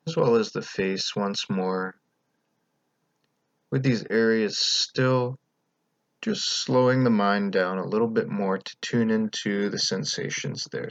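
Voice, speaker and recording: A man leading a guided meditation as the teacher, speaking in a calm, gentle, unhurried way with pauses between instructions. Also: lowish voice, dry recording, muffled recording.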